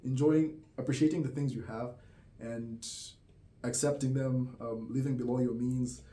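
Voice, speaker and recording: Zambian guy rambling thoughtfully, lots of ums and likes, mellow voice, echoey room. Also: mid-range voice, fairly even intonation, moderate pace. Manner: hesitant, many fillers and soft laughs. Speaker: vlogger. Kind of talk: casual reflective monologue. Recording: roomy echo.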